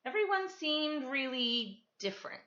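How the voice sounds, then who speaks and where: Bright-voiced woman reading in an echoey room. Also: high voice, big pitch swings, unhurried. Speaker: storytime reader. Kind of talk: casual storybook read-aloud for children. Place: indoors at home, kitchen and another room. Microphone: camera microphone. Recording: echoey room, slightly dull camera audio.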